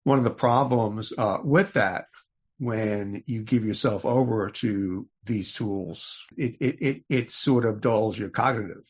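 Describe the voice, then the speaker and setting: A man's voice: on the low side, unhurried, breathy edge. A man hosting a conversational podcast interview. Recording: slightly muffled, dull audio.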